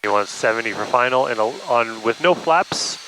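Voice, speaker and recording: Calm man coaching from a cockpit. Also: medium pitch, normal speed, slight background noise, poor audio. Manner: calm, explanatory, gives steady instructions. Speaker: flight instructor. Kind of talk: coaching in flight. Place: small plane cockpit in flight. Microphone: aviation headset intercom.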